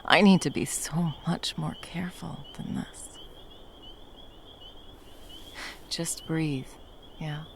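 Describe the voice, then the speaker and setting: A woman's voice: sits low, unhurried, creaky, a little hiss or hum. A woman playing a battle-hardened monster hunter, violent yet a tender protective lover, in an intimate ASMR roleplay. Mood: guilty, tender. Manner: intimate, sweary, teasing and soothing endearments. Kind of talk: ASMR roleplay, intimate scripted monologue addressed to the listener.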